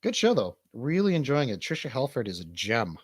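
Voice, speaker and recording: Male talk host, rambling and bantering, very animated intonation, close dry sound. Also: medium pitch, fairly quick, husky, no echo. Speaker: radio show host and DJ. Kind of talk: casual chat.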